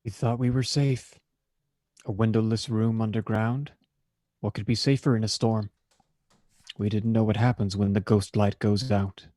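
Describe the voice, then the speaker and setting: An actor voicing an ominous written last message in grave dramatic recitation. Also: male voice, on the low side, steady tone, normal speed, pauses often, dark tone, no echo, natural voice.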